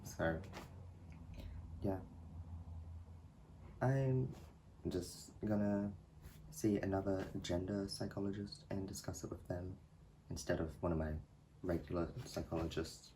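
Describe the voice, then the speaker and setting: Young male vlogger, low mellow voice, slow hesitant delivery, light room noise. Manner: soft, hesitant, giggly. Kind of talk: personal vlog talk.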